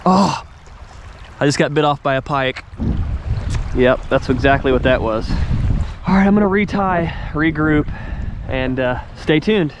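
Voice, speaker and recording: A man hosting his own fishing channel, chatting excitedly to viewers from a kayak on a river and sounding tired. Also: fairly high pitch, a little slow, long pauses. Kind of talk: casual on-the-water vlog narration. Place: outdoors on a river, in a kayak and on the bank. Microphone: action camera. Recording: wind and water noise from outdoor camera.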